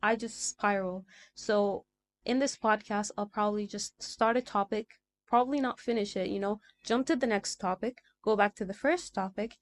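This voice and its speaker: A woman's voice: medium pitch, normal speed. A young woman from Sudan, a native Arabic speaker with a mixed American and British accent, hosting a casual solo podcast and rambling from thought to thought. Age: young adult. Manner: rambling, chatty, jumps between thoughts. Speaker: podcast host. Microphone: small desk mic.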